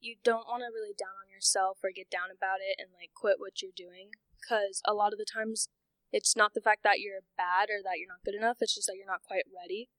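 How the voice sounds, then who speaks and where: A woman's voice: medium pitch, fairly even intonation, a little fast, fairly bright tone, dry recording, poor audio. Encouraging teenage girl, dry sound. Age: teens. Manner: encouraging, filler-heavy. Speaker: senior in a high school a cappella group.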